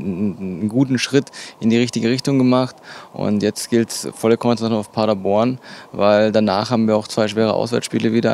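Creaky, quick, flat-toned man. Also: on the low side. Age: 30s. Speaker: basketball coach. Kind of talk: post-game interview. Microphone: handheld interview microphone. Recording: faint background noise.

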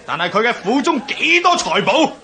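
An actor playing a boastful, bloodthirsty rebel warlord in a Cantonese historical radio drama, declaiming and commanding with a Hong Kong accent. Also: male voice, extremely high pitch, sing-song, moderate pace, gravelly, pushed and bright, a faint crowd, heavily acted. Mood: gloating, commanding. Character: boastful, bloodthirsty male rebel warlord rallying his followers.

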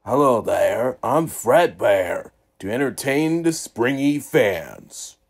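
A gruff animatronic bear showman voiced by a man greets slowly. The game mascot's voice is heavily creaky and gravelly. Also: medium pitch, very animated, full character voice. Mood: welcoming.

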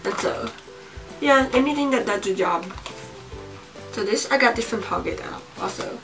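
A young woman of about eighteen with a slight cold, talking casually and haltingly to camera as she shows things in a room at home. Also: fairly high pitch, expressive. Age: young adult, about 18. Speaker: vlogger. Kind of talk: casual show-and-tell to camera. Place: small home room, indoors. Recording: distant mic, music behind.

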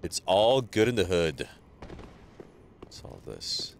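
A man streaming a game live, chatting casually with viewers and greeting them by name, sounding a little stuffy from allergies, on a boom mic. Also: slow, vocal fry, slight background noise. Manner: greets chat viewers, relaxed banter. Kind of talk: casual live gameplay chat.

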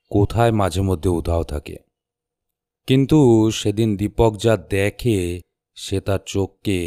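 A man narrating a scripted horror story in measured, suspenseful short phrases. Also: lowish voice, darkish tone.

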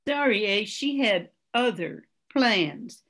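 A woman's voice: medium pitch, expressive, slow pace, a bit bright. An older woman playing a bored, blunt, dry motel day manager in an old-time radio drama.

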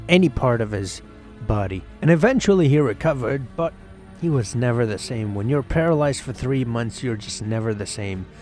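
A man playing an excitable, earnest young schoolboy cricketer who tells his story conversationally, with emphatic pauses, in a dramatised game story. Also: medium pitch, close to an everyday voice. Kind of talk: first-person dramatised narration over game cutscenes.

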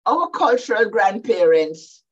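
An older Jamaican woman speaking as a panelist over a video call from her home study, deliberate and explanatory. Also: medium pitch, big pitch swings, breathy edge. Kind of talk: measured panel talk. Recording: dull lo-fi video call audio.

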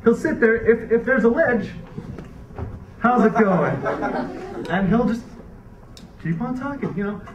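A man's voice: very high-pitched, animated, measured pace, pauses often. A young man performing a stand-up comedy set on a theater stage, rambling and wry with frequent restarts, into a handheld mic. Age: young adult. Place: dark theater stage before a laughing audience. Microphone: handheld stage mic, recorded from a far camera. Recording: roomy, distant, dull hall recording with crowd laughter.

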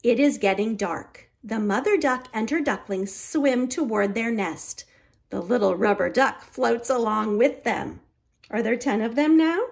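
A middle-aged woman reading a picture book aloud to young children, deliberate and expressive. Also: on the high side, vocal fry. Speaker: children's library storyteller.

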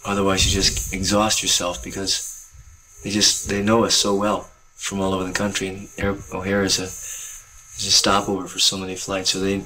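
A young man speaking earnestly and calmly about selling books to travelers, with faint hiss from old film audio. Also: sits low, normal speed, rough recording. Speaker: book distributor. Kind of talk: reflective commentary over footage.

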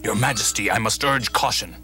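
A man's voice: lowish voice, brisk, sharp tone, soft background music. A man voicing a stern, cautious general who advises a king in a cartoon. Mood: grave. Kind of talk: animated cartoon character acting.

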